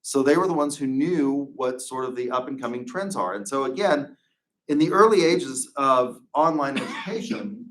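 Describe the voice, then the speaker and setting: A man's voice: medium pitch, lively intonation, moderate pace, a bit bright, rough recording. A middle-aged man, a marketing professor, giving a casual, rambling classroom lecture full of asides and restarts.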